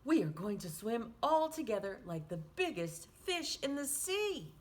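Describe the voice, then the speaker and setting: An eager little boy fish from a storybook, small but brave, acted out by a woman. The voice is high and swings up and down with encouragement, at an unhurried pace. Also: sing-song. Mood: confident, excited.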